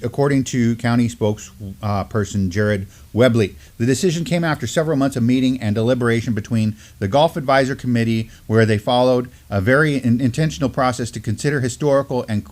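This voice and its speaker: A man's voice: medium pitch, a little mellow. A middle-aged man co-hosting a live news talk show, chatting casually from a desk in a small studio, with many fillers and restarts. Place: studio with mottled backdrop, at a desk.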